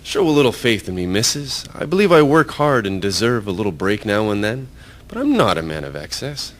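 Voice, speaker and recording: A man's voice: sing-song, a little fast, fairly bright tone. A man playing an easygoing, hard-working Irish farmer in a historical radio drama, speaking in folksy rural phrases. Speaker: amateur voice actor, storyteller. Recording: faint rumbling background noise.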